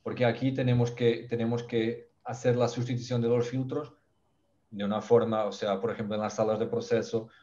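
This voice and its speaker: A man from Portugal with a Portuguese accent presents a technical topic in a second language. His voice is mellow and dark, and he stumbles, restarts and searches for words. The sound is dull and lo-fi, like call audio. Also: mid-range voice, level tone, a little fast. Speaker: ventilation specialist.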